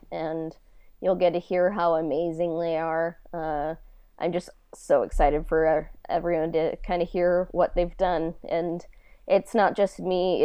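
A woman's voice: on the low side, dark tone, smooth, no echo, highs cut off. A woman co-hosting a podcast in casual chat, informal and self-effacing in the way she talks. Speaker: podcast co-host and audio drama creator.

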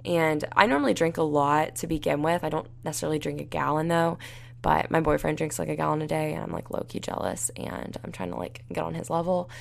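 A young woman hosting a solo podcast, talking casually with lots of fillers, her voice raspy and tired. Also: low-pitched, steady tone, brisk. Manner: rambling, many fillers like "like" and "you know". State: tired and dehydrated. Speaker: podcast host.

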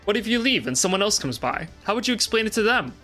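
Man acting a agitated, higher-voiced obsessed interview subject. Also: big pitch swings, fast talker, bright, forceful tone, soft background music, heavily acted. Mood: frantic dread. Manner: agitated, repetitive, swearing. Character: obsessed, distressed male interview subject haunted by a shipwreck. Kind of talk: dramatic reading of an interview transcript.

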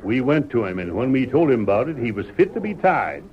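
An actor playing a sly, boastful old cowboy with a rustic drawl in an old radio western. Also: male voice, medium pitch, sing-song, fairly quick, close to an everyday voice. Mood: sly scheming. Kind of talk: 1940s radio western acting. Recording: dull vintage radio recording.

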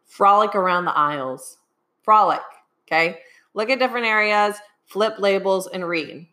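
A young adult woman who coaches fitness, giving live advice with a voice worn hoarse by a sinus infection and often checking in with "okay?". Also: medium pitch, normal speed, bright, forceful tone. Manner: keeps asking "okay?". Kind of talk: live-streamed advice talk.

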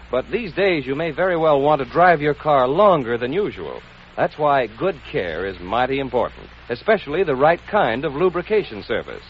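Animated 1940s radio announcer, male. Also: mid-range voice. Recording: dull noisy old radio recording.

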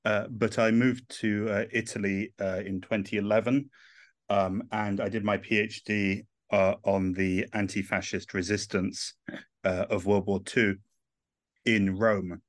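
A man's voice: fairly low pitch, little pitch movement, unhurried, no echo, studio quality. A man in his thirties with an English accent, speaking as an author in an interview, thoughtful and full of "uh" fillers. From England. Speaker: author and guest. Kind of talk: podcast interview.

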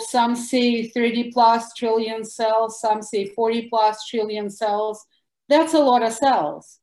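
A woman with a Russian accent, a guest doctor answering interview questions in an animated, emphatic way. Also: fairly high pitch. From former Soviet Union. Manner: animated, emphatic, bursts of louder words. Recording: echoey room.

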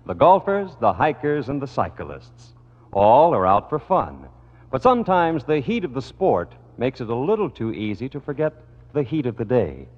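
A man reading scripted copy as a classic radio announcer and host, with a polished, formal delivery. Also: medium pitch. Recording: old radio broadcast, muffled, long reverb.

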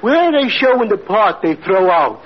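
A naive, good-natured stooge from a 1940s radio comedy. His voice is very high and lively in pitch, heard on a muffled recording with heavy noise. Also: normal speed. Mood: dim, eager.

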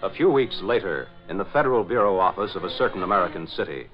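A man's voice: low-pitched, lively intonation, fast. A man narrating a scripted radio drama in 1940s style. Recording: dull old radio recording, music.

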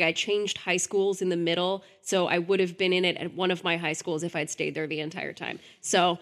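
A woman's voice: medium pitch, fairly bright tone. A woman co-hosting a podcast, talking in a chatty and enthusiastic way. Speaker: podcast co-host. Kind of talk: casual podcast chat.

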